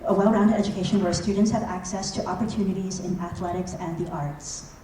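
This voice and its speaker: A woman's voice: on the low side, fairly even intonation, a little fast, warm tone, slight background noise, rough recording. A woman, a school board member seeking re-election, reading a formal prepared statement at a public forum. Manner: formal, reads a prepared speech.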